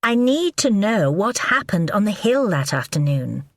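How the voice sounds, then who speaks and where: A woman reading the part of a brisk, biting woman confronting her lover in an audiobook. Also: medium pitch, sing-song, no room sound, clean studio sound, pitched above the performer's own voice. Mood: angry. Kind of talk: audiobook character voice.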